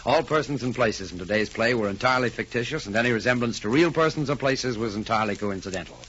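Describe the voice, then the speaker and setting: A man's voice: medium pitch, fast talker, fairly bright tone. A man announcing in a polished, showmanlike 1940s radio style. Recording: dull 1940s radio recording.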